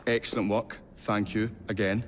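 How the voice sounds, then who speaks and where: An actor playing an eccentric, courteous and flustered Victorian inventor in a video game cutscene. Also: male voice, fairly low pitch, flat delivery, normal speed, big-room sound, a little hiss or hum, lightly acted. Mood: delighted, polite. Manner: flustered, hesitant, effusively polite. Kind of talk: theatrical game cutscene acting.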